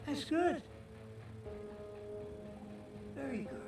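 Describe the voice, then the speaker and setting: An actor playing an aging crime boss in a video game, calm and soothing with quiet menace, speaking slowly and deliberately. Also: male voice, very high-pitched, full character voice. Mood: false comfort. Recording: echoey, distant in-game audio under loud music.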